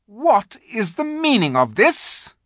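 A man voicing a shrill, commanding witch queen. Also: wildly swooping pitch, slow pace, a bit bright, no room sound, studio quality, heavily acted. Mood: imperious, furious. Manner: commanding, harsh, shrieking when enraged. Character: cruel imperious witch queen, woman.

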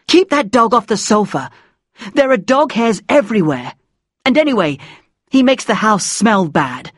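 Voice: medium pitch, expressive, moderate pace, dry recording, clean studio sound, pitched above the performer's own voice, close to an everyday voice. A narrator voicing an angry, possessive middle-aged mother in an audiobook story, scolding and complaining insistently. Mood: nagging, scolding.

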